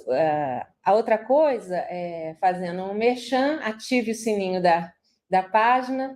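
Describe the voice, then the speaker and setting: A woman moderates an online talk in a mid-pitched, relaxed voice. She hands over to speakers and fills pauses often. Her voice comes through a video call. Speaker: webinar moderator.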